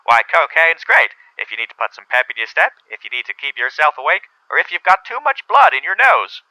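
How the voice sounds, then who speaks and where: A man's voice: fairly high pitch, lively intonation, a little fast, sharp tone, no echo, voice pushed up. A man voicing a cheerful, folksy salesman pal in a parody 1950s radio advert. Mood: peppy, confident. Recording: dull vintage radio sound.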